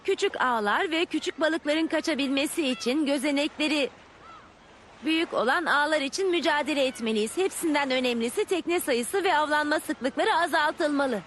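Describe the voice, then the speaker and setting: Very high-voiced earnest girl. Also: moderate pace, fairly bright tone, slight background noise. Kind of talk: Turkish dubbed educational cartoon.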